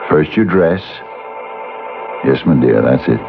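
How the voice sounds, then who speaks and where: Very deep gravelly domineering husband. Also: male voice, lively intonation, slow pace, exaggerated voice. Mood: hypnotic, commanding. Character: husband who boasts of hypnotic power, domineering. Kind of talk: old-time radio drama acting. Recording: muffled old radio broadcast, music behind.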